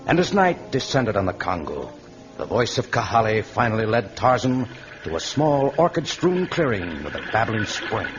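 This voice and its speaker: A man's voice: fairly low pitch, very animated, moderate pace. A man narrating an old radio adventure serial as its announcer, in a dramatic scripted style. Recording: muffled vintage radio recording with music. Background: dramatic music.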